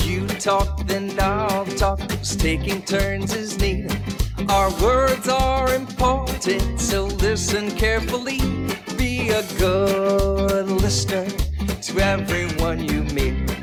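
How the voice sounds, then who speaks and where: A woman sings a children's song at an unhurried pace. Prominent country music with guitar plays along with her voice throughout. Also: medium pitch, steady tone.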